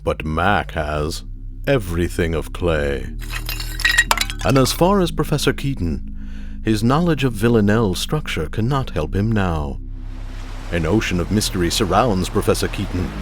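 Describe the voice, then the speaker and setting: An actor playing a theatrical, grandiose announcer of a 1930s radio serial, dramatic and pompous. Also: male voice, deep voice, expressive, unhurried. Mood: ominous. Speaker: narrator and announcer. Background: loud music.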